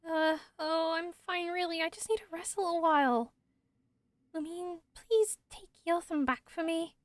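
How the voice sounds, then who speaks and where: A woman voicing a gentle, caring young woman from a game, soft and reassuring though weakened. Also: very high voice, moderate pace, dry recording. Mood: weak, reassuring. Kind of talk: fan voice-over of game dialogue.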